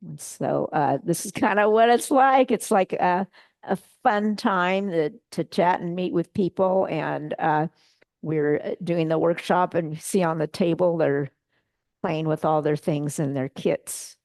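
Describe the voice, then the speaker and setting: A low-pitched woman with a gravelly edge talks casually through a slide show, pausing often with fillers and restarting her sentences. The sound is dry and close, with little room echo. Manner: relaxed, chatty, many fillers and restarts. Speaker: guest presenter at a bonsai club meeting.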